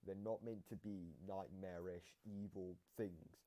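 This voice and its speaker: A man's voice: sits low, fairly even intonation, unhurried, dark tone. A man giving casual commentary on game voice lines, explaining his reading of them in a matter-of-fact way.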